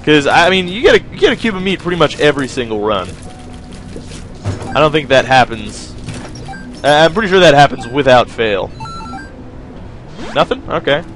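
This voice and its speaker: A young man chats his way through a game run, rambling and poking fun at himself as he addresses his viewers. His pitch bounces around animatedly from line to line. Quiet game music plays softly under his voice. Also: sing-song, normal speed, long pauses. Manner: rambling, joking, self-mocking, talks to viewers. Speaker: gaming video host. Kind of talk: casual gameplay commentary. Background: faint game music.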